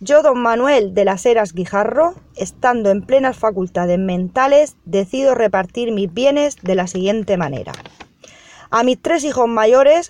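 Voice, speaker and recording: A woman from Spain playing a formal, businesslike lawyer in a radio soap, speaking in a measured way. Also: mid-range voice, a little mellow, dry recording, close to an everyday voice. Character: formal, businesslike female lawyer reading a will. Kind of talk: amateur radio soap acting.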